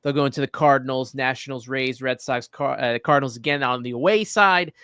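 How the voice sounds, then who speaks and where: A man hosting a casual sports interview, speaking conversationally with somewhat halting phrasing. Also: medium pitch, pushed and bright, clear, resonant tone, dry recording, studio quality. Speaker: sports show host, interviewer.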